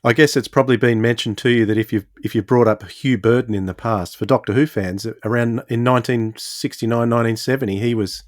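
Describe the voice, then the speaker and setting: A man hosts a conversational interview. His voice sits at an average male pitch. The sound is dry, with very little room around it. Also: fairly even intonation, fast talker, hardly any pauses, no room sound. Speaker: podcast interviewer.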